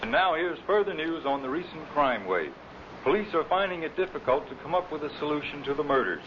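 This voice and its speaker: Fairly high-pitched male announcer, dull old radio. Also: lively intonation, a little fast. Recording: dull old radio recording, faint noise.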